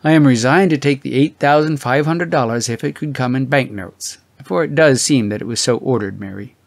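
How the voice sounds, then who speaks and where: A man's voice: mid-range voice, fairly quick, creaky, no echo. A man voicing an anxious, weary older husband in an audiobook, fretful and easily shaken. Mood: uneasy. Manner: agitated, exclaiming, muttering.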